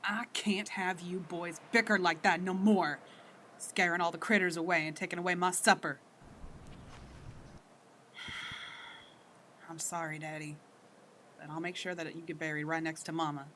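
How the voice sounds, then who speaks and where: A woman's voice: fairly low pitch, level tone, measured pace, pauses often, a bit bright. A woman playing a young, cold-blooded backwoods daughter in an audio drama, blunt and matter-of-fact, with rustic speech. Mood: coldly menacing. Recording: faint background noise.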